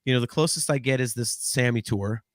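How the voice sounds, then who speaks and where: A man in his late forties co-hosts a relaxed podcast, talking in a loose, rambling way with frequent restarts and casual swearing. His voice sits at an ordinary middle pitch. The sound is close and dry, with no room around it. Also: a little fast, dry recording. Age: 40s. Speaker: podcast co-host.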